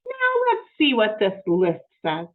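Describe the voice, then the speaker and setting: A woman's voice: medium pitch, wildly swooping pitch, slow pace, phone-quality sound, exaggerated voice. A woman voicing a cheerful, naive, literal-minded housemaid from a children's storybook, exclamatory, wonderstruck and folksy. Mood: pleased, busy. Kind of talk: children's storybook read-aloud.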